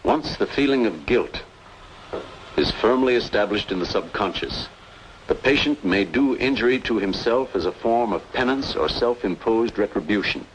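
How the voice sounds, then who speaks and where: An actor playing a grave, persuasive doctor in an old-time radio noir drama, speaking in a measured, formal, explanatory way. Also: male voice, fairly low pitch, animated, natural voice. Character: grave, authoritative male doctor, earnest and persuasive. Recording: noisy vintage radio recording.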